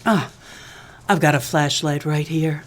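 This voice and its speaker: A woman plays a scheming widow from a comic noir radio play. Her voice sits fairly low, and she talks in a coy, cloyingly flirtatious femme fatale manner. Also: a little slow, a little hiss or hum. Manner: coy, sweet-talking with pet names.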